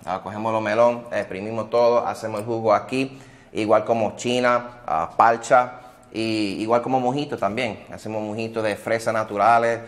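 A man, a restaurant owner answering interview questions in a relaxed way, dropping in English words. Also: fairly low pitch, moderate pace. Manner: relaxed, many "ah" fillers, mixes in English words. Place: indoors at a restaurant bar.

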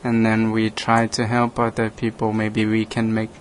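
A man from Laos, a native Lao speaker, hosting a radio talk and speaking haltingly with many restarts. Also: fairly low pitch, completely flat delivery, a little hiss or hum. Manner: halting, hesitant, frequent restarts, laughs often. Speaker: radio program host, monk. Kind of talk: casual conversation in English.

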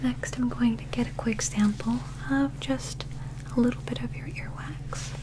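A young woman doing a soft-spoken ASMR medical roleplay in a breathy whisper, gentle, soothing and reassuring, close to the microphone. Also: fairly high pitch, fairly even intonation, measured pace, low-quality recording. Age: young adult.

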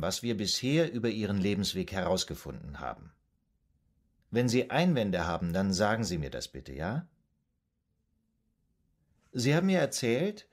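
A patient, methodical psychoanalyst in a period radio drama. His man's voice is low-pitched and formal. He questions and sums up in a calm, measured way. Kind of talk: German radio drama acting.

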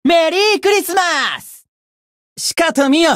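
A woman voicing a cocky, bratty young demon who boasts pompously in rough slang, in a Japanese game character style. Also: extremely high pitch, unhurried, no echo, studio quality. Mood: boastful, gleeful.

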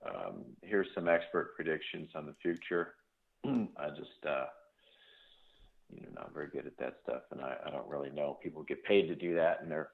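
A man's voice: rumbling low, normal speed, creaky. A man answering a sales call, chatting casually over the phone. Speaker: person answering a cold call. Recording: muffled narrow phone line.

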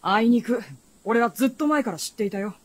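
An actor as a guarded, husky-voiced proud boy. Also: mid-range voice, a little fast, close to an everyday voice. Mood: sullen, matter-of-fact. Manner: blunt, curt boyish speech. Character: guarded, proud teenage boy, secretly of royal birth. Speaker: radio drama actor. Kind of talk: period fantasy radio drama acting.

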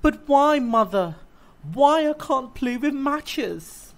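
A woman voicing an eager, curious little girl who pleads in a whiny, drawn-out way. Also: high voice, lively intonation, voice pushed up, heavily acted. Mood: pleading.

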